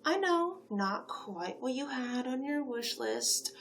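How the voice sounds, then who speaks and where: A woman playing a flattering, gossipy sphinx matchmaker in a soft-spoken, whispery ASMR roleplay. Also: sits high, slow pace, gravelly, slight background noise, exaggerated voice. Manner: coaxing, flattering, chatty, whispery in places.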